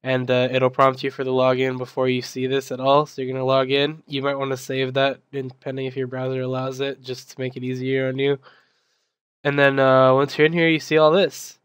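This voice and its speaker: Young man, recovering from losing his voice, casual tutorial explainer, lots of ums, monotone, clean and dry desk mic. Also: medium pitch, fairly quick, smooth, no room sound. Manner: casual, filler-heavy explaining with restarts. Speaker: server admin giving a tutorial. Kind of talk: screen-recorded software tutorial.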